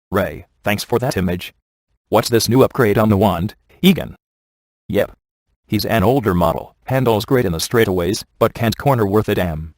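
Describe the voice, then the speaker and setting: A man playing a wisecracking, sardonic ghost hunter in a video game, with a deadpan, offhand delivery. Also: fairly low pitch, no room sound, studio quality. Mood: sarcastic. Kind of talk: video game voice acting, comic one-liners.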